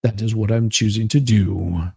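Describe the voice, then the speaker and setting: Man acting a solemn, husky, earnest guy. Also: on the low side, steady tone, normal speed, warm tone, no room sound, studio quality, voice pushed down. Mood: apologetic, firm. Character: stiff, earnest, non-committal man reading out his text messages. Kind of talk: dramatic reading.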